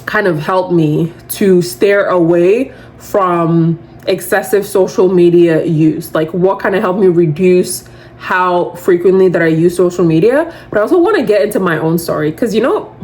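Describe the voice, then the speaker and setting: A woman in her twenties with a loud voice, hosting a podcast in casual, rambling solo chat, full of "like" and animated asides. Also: fairly low pitch, moderate pace. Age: 20s. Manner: chatty, rambling, heavy use of "like"; animated, slips into quoting others. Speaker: podcast host.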